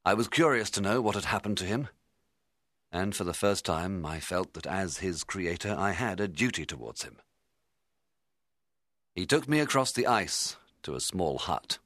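Male actor as haunted audiobook scientist, low bright voice, intense, remorseful, dramatic. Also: expressive, normal speed, no room sound, clean studio sound. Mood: subdued, curious. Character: horrified, remorseful male scientist recounting his tale. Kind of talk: dramatised literary audiobook narration.

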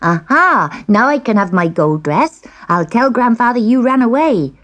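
A spoiled young serving girl from a storybook, played by a woman, pitched high and shrill. She is excitable and insistent, with pitch that leaps about. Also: normal speed. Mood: triumphant. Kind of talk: children's storybook character voice.

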